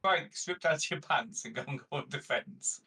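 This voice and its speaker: A man with a slightly husky voice, chatting casually and planning aloud as a player in a tabletop game. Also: a little high, normal speed, bright, forceful tone, far from the mic.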